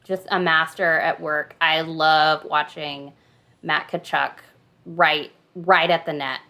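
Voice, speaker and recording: A woman's voice: deep voice, fairly even intonation, slow pace, bright, forceful tone. Woman hosting a casual sports podcast quiz. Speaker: podcast host running a hockey trivia quiz.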